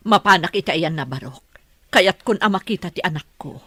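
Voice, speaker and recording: An anxious, yearning mother in a Philippine radio drama. Her voice is fairly low and husky, recorded close and dry. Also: expressive, fairly quick, fairly bright tone, dry recording. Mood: yearning, worried.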